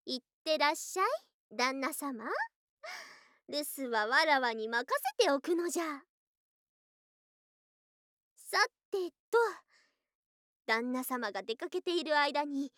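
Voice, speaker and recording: Snake-yokai bride, high pitch, slow delivery, doting, possessive, old-fashioned haughty phrasing, ASMR drama. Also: female voice, animated, bright, forceful tone, no echo, studio quality, heavily acted. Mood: cheerful, devoted. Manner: archaic, haughty yet affectionate old-fashioned speech. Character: doting, possessive female lamia yokai newlywed wife. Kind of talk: ASMR situation voice drama acting.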